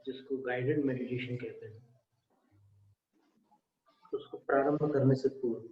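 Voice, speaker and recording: A man with an Indian accent leading a guided meditation, speaking calmly and deliberately with pauses. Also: medium pitch. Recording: echoey room, dull muffled sound.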